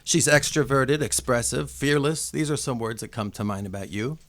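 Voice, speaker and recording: A man acting as guest interviewer in a casual chat, joking and asking his questions haltingly. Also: medium pitch. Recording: loud music behind the voice.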